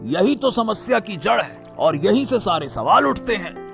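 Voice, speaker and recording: एक आदमी की आवाज़ में धमकाता, खरखराता शक्की पहलवान. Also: male voice, sits high, very animated, vocal fry, fairly bright tone, soft background music, full character voice. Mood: threatening. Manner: taunting, drawn-out, insinuating. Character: suspicious, threatening male wrestler interrogating a boatman. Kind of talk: Hindi moral-story cartoon dubbing.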